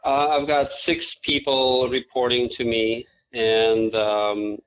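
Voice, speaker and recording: A man in his forties with an Albanian accent explains things in a measured, unhurried way, often pausing on "uh". His pitch stays level and flat. The audio is a little dull and lo-fi, like a remote call. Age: 40s. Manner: measured, explanatory, frequent "uh" fillers. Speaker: IT manager at a manufacturing firm. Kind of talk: interview answers.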